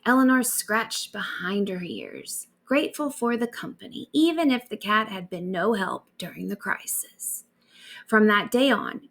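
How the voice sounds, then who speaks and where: A woman reading a bedtime story aloud as an expressive storyteller. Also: medium pitch.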